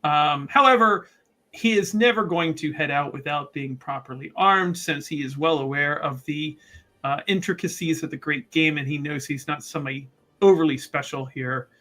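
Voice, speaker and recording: Rambling, higher-voiced male tabletop player. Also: normal speed, bright, forceful tone. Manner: rambling, hesitant phrasing. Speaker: player in a tabletop roleplaying game. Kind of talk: improvised, casual description of his character.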